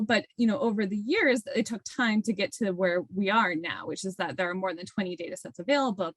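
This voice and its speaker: A woman's voice: fairly high pitch, fairly bright tone, dry recording. A woman presenting slides informally in an online webinar, explaining in a conversational way and often correcting herself as she goes. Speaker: presenter, research program official. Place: online video call with screen sharing.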